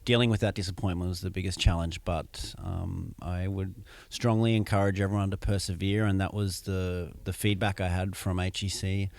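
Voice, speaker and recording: A low-voiced Australian man in his thirties with a gravelly edge. He answers an interviewer's questions in a measured, unhurried way, stopping often to find his words. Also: flat delivery, a little mellow, dry recording. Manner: measured, many ums and restarts. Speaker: MBA student guest. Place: business school campus during a conference.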